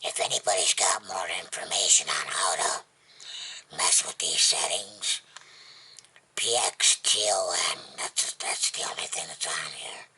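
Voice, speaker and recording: A vlogger with a husky, breathy, gravelly voice, chatting casually in a rambling, folksy, plainspoken and unhurried way. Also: deep voice, bright, forceful tone, poor audio. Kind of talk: casual rambling chat to camera.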